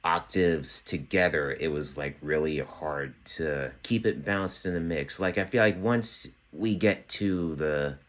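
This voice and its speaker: A young man with a very low, bassy voice talks in a loose, relaxed way, leaning on fillers as he walks through his home studio setup. He sounds close to a phone mic in a small room. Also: measured pace, hardly any pauses. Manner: relaxed, chatty, many fillers. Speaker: home music producer. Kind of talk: casual tutorial walkthrough.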